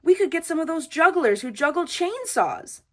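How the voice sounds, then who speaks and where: A woman voicing an excitable little schoolboy in a storybook, shouting urgently. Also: extremely high pitch, moderate pace, voice pushed up, full character voice. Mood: excited. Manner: urgent, loud.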